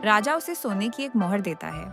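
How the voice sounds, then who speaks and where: Lively woman narrating a story. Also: mid-range voice, normal speed, soft background music.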